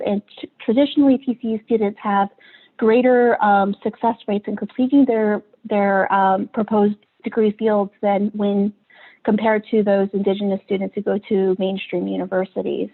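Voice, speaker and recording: A woman delivers an academic talk in a medium-pitched voice. She speaks as a researcher presenting slides, and the sound is thin and phone-like, as over a video call. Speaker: researcher giving a conference presentation. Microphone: video call microphone.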